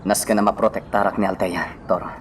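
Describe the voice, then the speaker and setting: An actor playing a protective, steady driver and gunman bodyguard in an Ilocano radio drama. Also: deep voice, fairly quick, a little hiss or hum, close to an everyday voice. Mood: reassuring. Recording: music clearly behind the voice.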